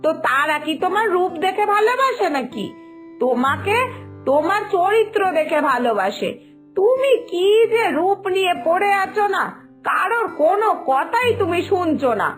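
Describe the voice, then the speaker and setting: A woman voicing an older, caring but exasperated mother-in-law in an animated moral story. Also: extremely high pitch, normal speed, soft background music. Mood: scolding, caring. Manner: exasperated, firm, motherly urging.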